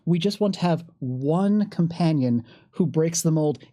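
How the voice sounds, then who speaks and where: A man speaking as a game designer in a panel discussion, thoughtful and somewhat halting. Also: fairly high pitch, expressive, dark tone, dry recording.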